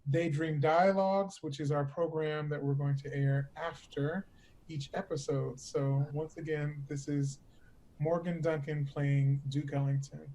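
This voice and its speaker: A man's voice: fairly high pitch, flat delivery, measured pace, mellow, a little hiss or hum, low-quality recording. A man hosting a conversational interview, readily affirming and agreeing with the guest. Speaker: interviewer, host.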